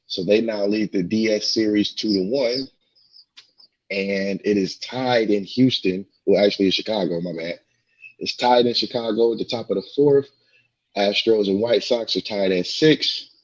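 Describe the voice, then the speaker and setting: A man's voice: lowish voice, highs cut off. A man co-hosting a sports talk show, losing his voice, emphatic and repeating his points as he gives score updates.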